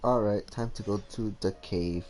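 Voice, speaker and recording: A man's voice: on the low side, normal speed, a little mellow. A man giving casual, rambling, hesitant game commentary as a streamer. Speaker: gaming streamer. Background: faint game music.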